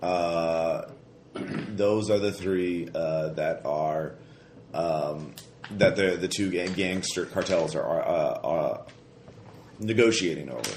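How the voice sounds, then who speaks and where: A man with a stuffy, slightly hoarse voice from a cold, narrating a tabletop game as game master in a rambling way, full of fillers and restarts. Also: low voice, slow pace, a little mellow. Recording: roomy echo, faint noise.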